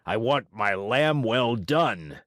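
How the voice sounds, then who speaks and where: A man doing Fire Eater, a hoarse gruff puppet master. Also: medium pitch, animated, takes it slowly, fairly bright tone, no room sound, clean studio sound. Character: gruff bearded male puppet-show director, blustering.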